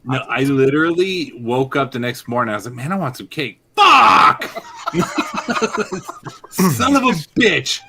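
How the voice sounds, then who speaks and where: A man's voice: medium pitch, sing-song, unhurried, pushed and bright, a little hiss or hum. A man co-hosting a podcast, bantering crudely with lots of swearing. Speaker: podcast co-host. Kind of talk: casual chat.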